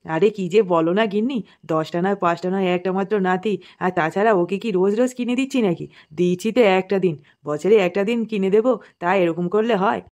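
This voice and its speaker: A woman's voice: medium pitch, brisk, a little mellow, lightly acted. A woman voicing a doting but grumpy, foul-mouthed old grandfather in a comedy cartoon, with a blustering, coarse way of talking. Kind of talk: Bengali comedy cartoon dialogue.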